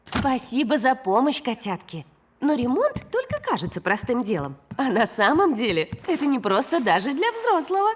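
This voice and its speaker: A woman voicing a gentle, patient mother cat in a children's cartoon, explaining things affectionately. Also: high-pitched, wildly swooping pitch, moderate pace, a little hiss or hum.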